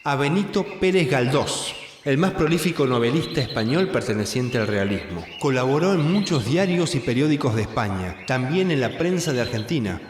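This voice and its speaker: A man's voice: medium pitch, lively intonation, normal speed, reverberant, in a large hall, noisy background. A man, explaining authors in an informative way. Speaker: guide explaining literature.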